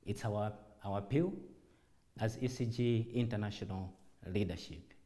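A man speaking as a church leader in a formal public address, deliberate and emphatic, in short measured phrases. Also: fairly low pitch, dark tone. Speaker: church director and apostle giving a public address.

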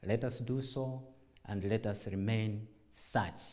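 A man speaking as a church leader in a formal public address, deliberate and emphatic, in short measured phrases. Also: lowish voice, fairly even intonation, darkish tone. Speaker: church director and apostle giving a public address.

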